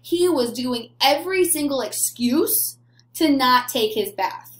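A young woman, a teacher, explaining a story to small children in simple, expressive talk. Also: sits high, unhurried, echoey room. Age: young adult. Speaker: elementary school teacher. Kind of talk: read-aloud discussion addressed to young children. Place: small plain room indoors.

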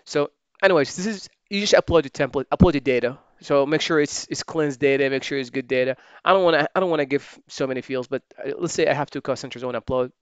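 Man, software tutorial voice-over, smooth voice, casual and self-correcting, dry slightly muffled sound. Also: medium pitch, quick pace, hardly any pauses, no echo. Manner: relaxed, thinking aloud while typing, many fillers and self-corrections. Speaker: software trainer. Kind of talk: screen-recorded software tutorial.

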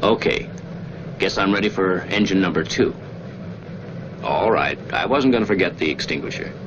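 A young lieutenant flight engineer with a deep voice, dutiful and self-assured, speaking in clipped procedural phrases. It sounds like a 1940s training film, muffled and with clear noise behind. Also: male voice, expressive, normal speed. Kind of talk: 1940s training film acting, checklist recitation.

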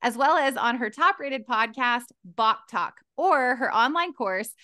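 Bright, upbeat woman podcast host. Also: on the high side, animated, unhurried. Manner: upbeat, enthusiastic. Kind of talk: scripted podcast introduction. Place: home room. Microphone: headset on video call.

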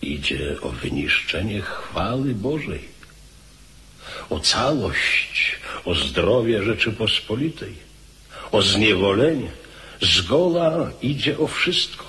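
A man's voice: on the low side, very animated, takes it slowly, bright, forceful tone, full character voice. A man playing a grave royal counsellor in a radio drama, urging war with emphatic oratory. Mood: grave, urgent.

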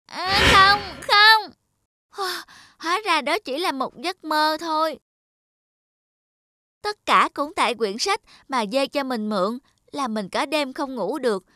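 A woman voicing a timid, excitable young forest animal in a children's cartoon, with an anxious, childlike manner. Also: high-pitched, slow pace, long pauses, no echo, clean studio sound, full character voice. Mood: frightened. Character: timid young rabbit and other little forest animals, jittery.

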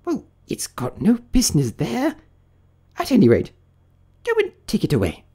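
A storybook rabbit master, very high and wildly swooping. He is fussy, imperious and quick to scold, barking out his orders with exclamations. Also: wildly swooping pitch, dark tone, husky, exaggerated voice. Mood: indignant, scolding.

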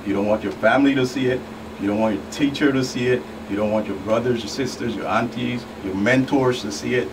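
A man's voice: mid-range voice, a little fast. A middle-aged man giving advice as a law enforcement guest on a talk show, in short emphatic phrases. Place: small radio studio. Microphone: studio microphone. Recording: distant mic, clearly noisy room hum.

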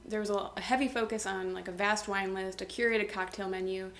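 A woman's voice: mid-range voice, steady tone, fairly quick, a bit bright. A young woman interviewing guests, asking questions in a friendly conversational way. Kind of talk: casual interview. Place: small studio on a couch. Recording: echoey room.

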